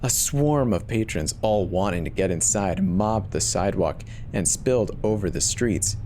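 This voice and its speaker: A man's voice: sits low, lively intonation, normal speed, mellow. A man narrating a first-person horror story in a dramatic scripted reading, with a weary edge. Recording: clear noise bed behind the voice.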